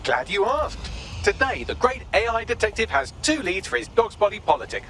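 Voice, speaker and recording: Sardonic male game AI, British, very lively intonation, crisp forceful tone, theatrical, flippant. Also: sits high, brisk, slight background noise, heavily acted. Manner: wry, flippant, theatrical, wordy. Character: cheeky sardonic male AI assistant, quippy and smug. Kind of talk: video game voice acting.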